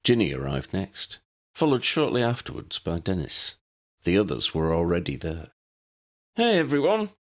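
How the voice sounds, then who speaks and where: A man's voice: sits low, very animated, moderate pace. A man reading a scripted story as an audiobook narrator.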